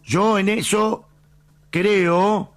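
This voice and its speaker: A man with an Argentine Spanish accent on a sports talk show, arguing legal points in a measured, argumentative way and cutting in on the other speaker. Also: high voice, fairly even intonation, a bit bright. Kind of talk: heated football debate.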